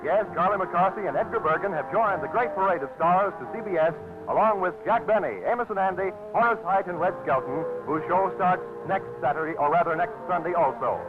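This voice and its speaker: A man announcing credits and promotions in bright, lively 1940s network radio style, on a tinny old radio broadcast with music. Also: high voice, fairly quick.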